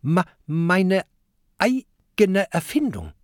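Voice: medium pitch, big pitch swings, drawn-out words, no room sound, studio quality, pitched above the performer's own voice. A narrator voicing a proud but timid male submarine captain who stutters on the first sounds of words, in a storybook reading. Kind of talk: storybook character voice.

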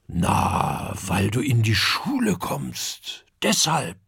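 A man voicing a calm, gentle dragon father who talks slowly and ponders out loud. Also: creaky, husky, no echo, clean studio sound, exaggerated voice. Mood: musing. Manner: slow, deep, musing.

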